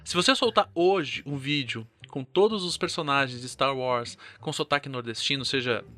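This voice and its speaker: Bright-voiced man with a Curitiba accent. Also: mid-range voice, normal speed. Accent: Curitiba Brazilian Portuguese. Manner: animated, informal, swears casually. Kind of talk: casual podcast chat.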